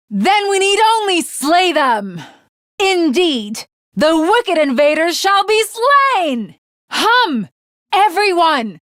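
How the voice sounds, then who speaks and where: A woman's voice: extremely high pitch, fairly bright tone, no echo, clean studio sound, full character voice. A woman playing an earnest, haughty young female samurai in an anime video game, with formal, archaic, melodramatic diction. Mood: scornful, then bombastic, excited. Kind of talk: dramatic anime game character acting.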